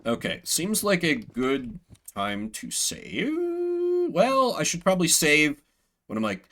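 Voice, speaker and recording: A man's voice: fairly high pitch, very animated, slow, pushed and bright. A man playing a video game as a streamer, mixing casual commentary with theatrical readings of the on-screen text.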